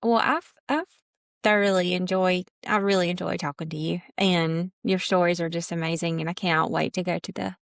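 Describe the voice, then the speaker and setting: Low-voiced woman, casual podcast host. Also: steady tone, normal speed, creaky, dry recording, studio quality. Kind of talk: casual conversational interview.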